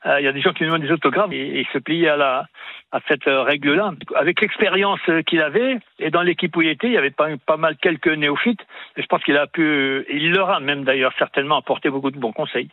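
A man's voice: fairly high pitch, fast talker, no echo. A man interviewed for the radio, reminiscing hesitantly with many fillers, heard over the phone. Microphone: phone line. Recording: tinny phone line, dry.

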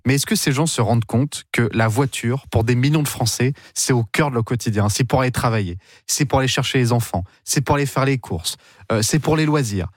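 An indignant man arguing on a radio panel. He talks fast and insists on his point, heard close and dry. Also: mid-range voice, no room sound. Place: radio studio.

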